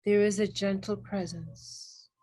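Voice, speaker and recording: A woman with a mid-pitched voice leads a guided meditation. She speaks extremely slowly and gently, setting down short phrases with long pauses between them. Her delivery is soothing and reverent, in an ASMR-like meditative style. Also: fairly even intonation.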